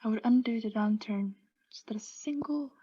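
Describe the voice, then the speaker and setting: A woman playing a mad condemned murderess in a radio play, intense and halting, often sinking into breathy hushed tones as she insists she is sane. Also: a little high, highs cut off. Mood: hushed, conspiratorial. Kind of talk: theatrical radio play monologue.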